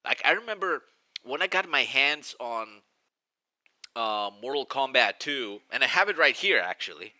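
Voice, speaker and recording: A man's voice: medium pitch, big pitch swings, normal speed, sharp tone, no echo. A man in his forties with a Greek accent, rambling and nostalgic as he chats casually on a live stream. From Greece. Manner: rambling, nostalgic, restarts often.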